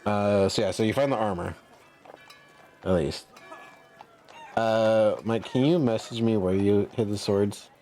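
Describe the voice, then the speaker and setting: A man speaking casually and haltingly as game master, improvising narration for a tabletop role-playing game. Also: on the low side, mellow, faint music behind.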